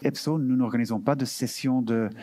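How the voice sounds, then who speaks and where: A man's voice: medium pitch, fairly even intonation, normal speed, mellow. A man representing an EU recruitment office, answering audience questions at an information session, hesitant with many fillers and restarts, sometimes slipping into English terms. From Belgium.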